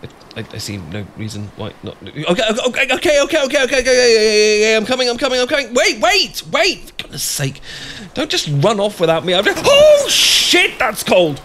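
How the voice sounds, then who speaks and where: A man's voice: very high voice, huge pitch swings, fairly quick, few pauses, sharp tone, a little hiss or hum, full character voice. A man with a British accent acting an awkward, easily flustered, bashful friend in a romantic ASMR audio roleplay, stammering and rambling as he goes. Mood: bashful, defensive, then panicky, protesting.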